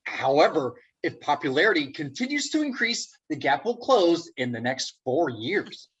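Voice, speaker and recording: A man playing an awkward, wry, nervously hopeful office worker in a comedic play reading, speaking haltingly. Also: a little high, big pitch swings, a bit bright, breathy edge, highs cut off, full character voice.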